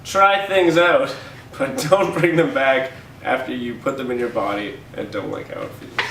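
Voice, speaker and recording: A young man co-hosting a casual satirical show, joking in a sarcastic, deadpan way. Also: medium pitch, a little slow. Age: young adult. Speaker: co-host of a campus humour paper's show. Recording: roomy, distant mic, faint noise.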